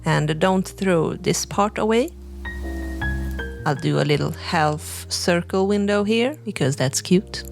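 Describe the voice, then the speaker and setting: Unhurried, gravelly woman crafter. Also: lowish voice, over music. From Sweden. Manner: relaxed, chatty explaining with frequent "like" and "you know". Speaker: crafting channel host. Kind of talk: voice-over craft tutorial.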